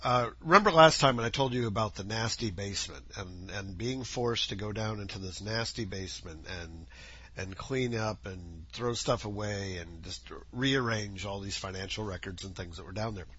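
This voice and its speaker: A man's voice: fairly low pitch, moderate pace, pushed and bright, husky, highs cut off. A man hosting his own podcast, chatting casually and rambling, his voice scratchy and a little worn from breathing dust. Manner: rambling, chatty, self-correcting. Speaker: podcast host. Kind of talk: casual solo podcast chat. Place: home, outdoor noises faintly heard.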